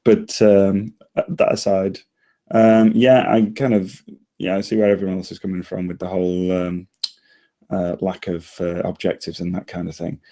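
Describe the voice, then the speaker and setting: A man's voice: sits low, flat delivery, normal speed, mellow. A man giving casual feedback in a group discussion, speaking in a hesitant, rambling and polite way. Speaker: script reader giving feedback.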